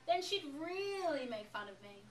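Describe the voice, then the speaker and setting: A woman puts on an anxious little schoolgirl in a children's storybook. The voice is high and plaintive, protesting in a worried way. The recording is echoey and distant. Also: very animated, unhurried. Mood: upset, plaintive. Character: anxious, worrying little first-grade girl. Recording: echoey, noisy room, distant microphone.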